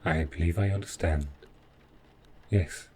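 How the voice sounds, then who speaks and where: A very deep-voiced robot butler, acted out by a man, calm and devoted. He speaks in stiff, precise, deferential phrases, like a servant in a sci-fi audio drama. A steady noise sits behind the voice. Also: unhurried. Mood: calm, attentive. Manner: formal, stiffly precise, deferential, avoids contractions. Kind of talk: scripted roleplay audio drama.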